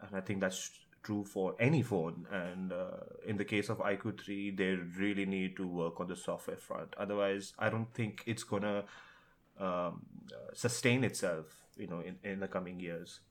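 A man's voice: sits low, steady tone, normal speed. A man talking casually as a tech podcast host.

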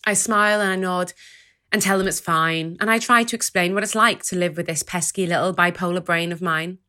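A woman's voice: lowish voice, steady tone, brisk, sharp tone. A woman performing a spoken-word theatre monologue in a dramatic, rhythmic, list-like delivery. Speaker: writer-performer.

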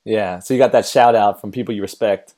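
A man hosting a podcast in casual chat, with a hoarse, raspy voice from losing it, laid-back and quick to laugh. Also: fairly low pitch, fairly even intonation, mellow. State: hoarse, lost voice. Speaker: podcast host.

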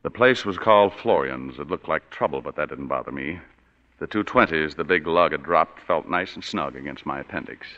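A man's voice: extremely low pitch, lively intonation, normal speed, fairly bright tone. An actor playing a tired, wisecracking male private eye who narrates dryly in a 1940s radio drama, on a dull lo-fi broadcast recording. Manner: dry, sardonic first-person narration.

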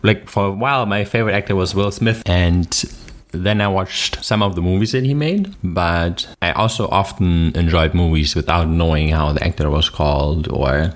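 Low-voiced German-accented man. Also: normal speed. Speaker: podcast co-host. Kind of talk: casual chat, reading posts aloud.

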